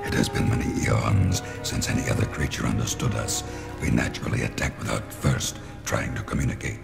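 An immensely deep voice belongs to a giant alien tyrannosaur leader. The growl is heavily creaky, the delivery slow, grave and formal, as in a 1970s audio drama. Loud music plays underneath. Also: male voice, fairly even intonation, exaggerated voice. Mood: grave, stately.

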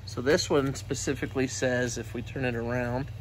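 A man's voice: mid-range voice, steady tone, normal speed, rough recording. A man casually asking questions and reading details aloud, recorded on a handheld camera. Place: greenhouse. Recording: faint background noise, handheld camera video.

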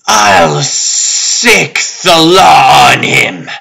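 A man's voice: on the high side, animated, vocal fry, bright, forceful tone, husky, full character voice. A man voicing a vengeful reptilian overlord in a comic dub, drawing out every word imperiously. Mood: brooding anger. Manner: drawn-out, imperious.

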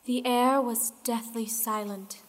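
A woman's voice: a little high, steady tone, takes it slowly. A woman narrating a storybook audio play in a dramatic style. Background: faint music.

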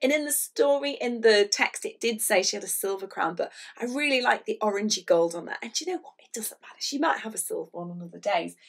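Animated woman, slightly husky, high voice. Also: a little fast, few pauses. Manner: animated, chatty, encouraging. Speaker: illustrator leading a children's draw-along. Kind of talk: step-by-step drawing lesson for young children. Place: small indoor room at a whiteboard.

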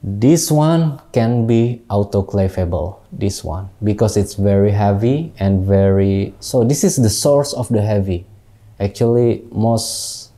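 A young Indonesian man with an Indonesian accent, a tattoo artist, unboxing gear in halting English, recorded on a lapel mic in a small studio. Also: on the low side, dark tone. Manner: unhurried, halting non-native English with many fillers. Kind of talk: casual product unboxing.

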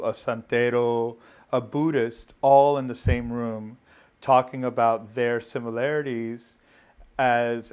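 Middle-aged guy, staff at a center, steady explainer, office, lapel mic. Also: mid-range voice, clean tone. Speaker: immigrant services staff member. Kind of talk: interview explanation.

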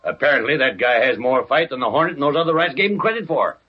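An actor playing an impatient city editor in old-time American radio style, barking slangy lines theatrically. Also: male voice, medium pitch, expressive, quick pace, breathy edge. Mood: gleeful. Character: brash, excitable, impatient newspaper city editor. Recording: muffled vintage radio recording.

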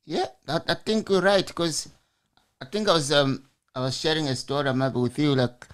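A man's voice: on the high side, normal speed, smooth. A man in his forties hosting a podcast over a video call. He asks long, winding questions and often restarts mid-sentence. He speaks into a large studio mic at home. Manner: rambling questions, frequent restarts. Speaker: podcast host. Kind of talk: casual interview. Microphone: large studio mic on arm.

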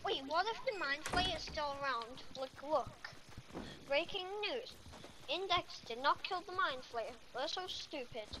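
A female gamer with a high voice plays make-believe as she plays. Her delivery is exclamatory and playful. The home recording is heavily noisy, with clicks throughout. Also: lively intonation, a little slow. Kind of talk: excited Halloween role-play while playing a video game.

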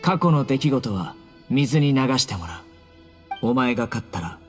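Guy doing serious stoic anime game warrior, terse, medium pitch, music behind. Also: expressive, normal speed, close to an everyday voice. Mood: stern. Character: stern, stoic young male warrior judge. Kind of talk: Japanese anime game voice acting.

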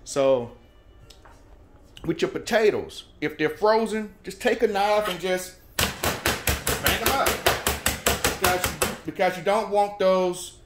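A man hosting a home cooking show, explaining each step in a folksy, relaxed way. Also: sits high, slow, pauses often, a little hiss or hum. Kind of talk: casual cooking demonstration. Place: home kitchen at the stove. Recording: echoey kitchen room. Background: oil frying and sizzling in a skillet.

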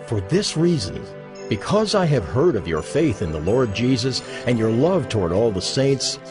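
A man reading scripture as a dramatized narration, solemn and measured, with emphatic phrasing. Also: mid-range voice, big pitch swings. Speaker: Bible narrator. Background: music under the voice.

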